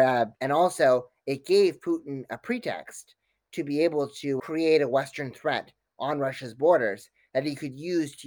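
Academic guy answering interview questions, long wordy analytical sentences, emphatic, mid pitch, no room echo. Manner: articulate, emphatic, long densely detailed sentences. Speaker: academic guest, foreign policy expert.

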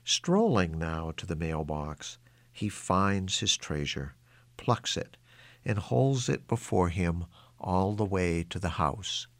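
A man's voice: low-pitched, animated, slow pace, no echo. A man reading his own literary short story aloud as a scripted narration.

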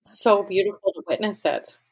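A woman's voice: mid-range voice, normal speed, vocal fry, a little mellow, slightly rough, rough recording. A woman hosting a podcast who speaks in an admiring, affirming way. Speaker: podcast host. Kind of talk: casual podcast conversation.

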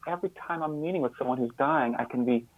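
A man speaking as an interview guest, explaining his ideas in a reflective, earnest way, often halting and repeating words. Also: medium pitch, no echo. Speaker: interview guest and author on caregiving. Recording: slightly phone-like, band-limited line.

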